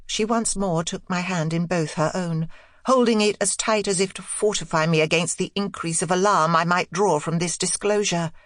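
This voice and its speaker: A woman narrating a literary audiobook in a measured, dramatic manner. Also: fairly low pitch, dry recording, clean studio sound.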